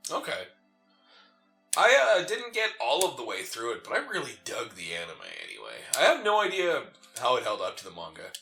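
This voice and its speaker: A man co-hosting a gaming show, talking casually in a halting way with many digressions. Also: mid-range voice, huge pitch swings, creaky, bright, forceful tone. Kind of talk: casual chat during video game commentary. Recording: roomy echo, game music behind.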